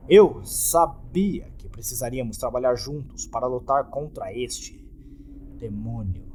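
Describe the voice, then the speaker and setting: A man's voice: medium pitch, darkish tone, slight background noise. A man with a Brazilian accent narrating a scripted horror story in a theatrical, dramatic storytelling manner.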